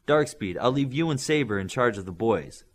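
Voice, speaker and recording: A man's voice: mid-range voice, lively intonation, fairly quick, close to an everyday voice. A man reading an audiobook, voicing a friendly male coach in charge of a team.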